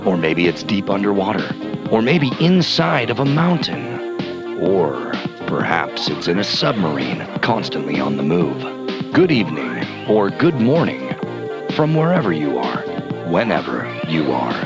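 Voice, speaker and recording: Man as a very deep, pompous radio announcer. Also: huge pitch swings, muffled recording, loud music behind the voice, full character voice. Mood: grandiose, mysterious. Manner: pompous, drawn-out dramatic phrasing. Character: grandiose, touchy late-night radio show announcer. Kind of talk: theatrical radio intro announcing.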